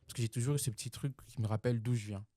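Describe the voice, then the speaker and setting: Young guy from congo, talks fast and earnest, lots of ums, dry close mic. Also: mid-range voice, fairly even intonation, dark tone, no echo. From Democratic Republic of the Congo. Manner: earnest, reflective, many fillers. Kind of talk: casual chat.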